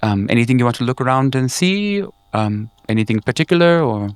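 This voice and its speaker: A man's voice: mid-range voice, lively intonation, smooth, dry recording, pitched above the performer's own voice, close to an everyday voice. A man voicing a fussy, chatty woman staffer with a folksy manner in a tabletop roleplay. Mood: helpful. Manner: folksy, chatty, quick to exclaim.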